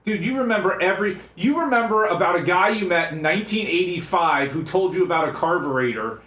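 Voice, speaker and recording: Man, radio co-host, sharp bright tone, teasing, casual joking banter, echoey room. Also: high voice, brisk. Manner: teasing, needling.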